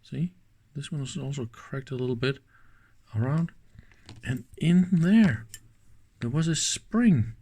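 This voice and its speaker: Slow-spoken man doing teardown commentary. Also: medium pitch, warm tone, breathy edge. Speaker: host of an electronics teardown channel. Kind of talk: hands-on teardown commentary, thinking aloud.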